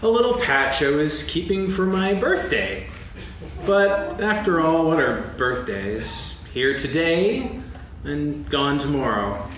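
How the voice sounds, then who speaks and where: A man's voice: fairly high pitch, expressive, slow pace. A man reading the part of a gloomy, sarcastic old donkey in a staged reading of a children's play, with a dry, mournful delivery. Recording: echoey stage, distant mic, background noise.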